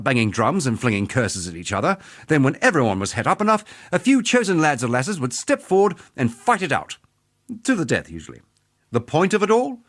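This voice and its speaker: A battle-scarred veteran duelist for a video game. His mid-pitched voice moves expressively up and down. He speaks like a proud, wry storyteller, earthy and measured. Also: fairly bright tone. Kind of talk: dramatic video game character monologue.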